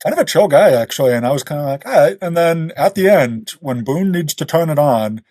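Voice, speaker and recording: A man's voice: on the high side, animated, fairly quick, darkish tone, no room sound, studio quality. A man hosting a casual podcast about books, talking bluntly and emphatically in slangy language and repeating himself for emphasis. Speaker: podcast host.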